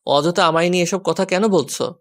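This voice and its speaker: A man's voice: high voice, fairly quick, fairly bright tone. A man playing a flustered, exasperated young husband who pleads and gives in, in a romantic audio story.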